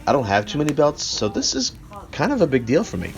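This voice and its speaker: A man's voice: fairly high pitch, wildly swooping pitch, fast, gravelly. A man presenting a video review in an earnest, wordy way, with rather formal phrasing. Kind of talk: scripted review narration with enthusiastic unboxing remarks.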